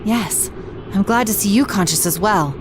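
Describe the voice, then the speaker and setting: A woman's voice: medium pitch, moderate pace, background noise, natural voice. A woman voicing a polite, serious, analytical young woman with an American accent in an anime-style game, formal and articulate. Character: composed, analytical young female detective type, polite and serious.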